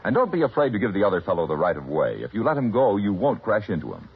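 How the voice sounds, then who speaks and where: A man's voice: lowish voice, huge pitch swings, fast talker, slightly rough. An American man reading a vintage radio public service announcement in a formal, emphatic, instructive manner. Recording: dull old radio recording.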